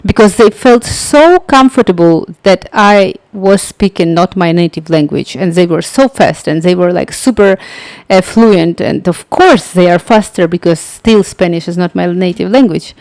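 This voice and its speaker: A young woman hosting a livestream, chatting casually and telling a story with lots of filler words and halting phrasing. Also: fairly low pitch, darkish tone, dry recording, poor audio. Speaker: livestream tutorial host.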